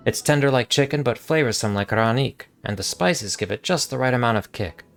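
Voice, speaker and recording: Guy doing an excited hungry young game heroine, easily amazed, faint music behind. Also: medium pitch, brisk, gravelly, pitched above the performer's own voice, natural voice. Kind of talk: video game character read aloud.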